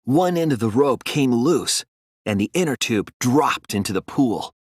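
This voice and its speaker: A man voicing an earnest, determined young student detective in a dramatic game dub, deliberate and analytical with a slightly husky voice. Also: mid-range voice, expressive, no echo, studio quality. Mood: grave, explanatory. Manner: deliberate, earnest, explanatory delivery with dramatic emphasis. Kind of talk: dramatic English game dub, deductive monologue.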